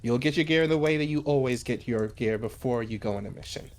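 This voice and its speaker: A man's voice: mid-range voice, fast talker, lightly acted. Man playing a curt, stern woman commander. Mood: curt, sarcastic. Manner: curt, condescending. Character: stern, imperious female mission commander.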